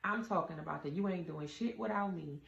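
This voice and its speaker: A woman with a very low, deep voice and a gravelly edge talks earnestly to her viewers, giving casual advice. She sounds like she is in a roomy indoor space. The recording is a little dull, with a faint hum of ambience underneath. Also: a little fast, a bit bright. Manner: earnest, addresses viewers as y'all. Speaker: vlogger giving relationship advice. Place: indoor room with a door.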